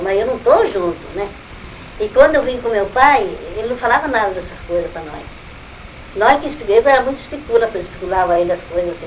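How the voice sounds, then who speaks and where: An older woman with a Brazilian accent, telling family stories in a lively way that is full of exclamations and repetition. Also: medium pitch, a little hiss or hum, poor audio. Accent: Brazilian Portuguese. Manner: lively storytelling, exclaims and repeats herself. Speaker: family elder recalling relatives. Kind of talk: casual family conversation.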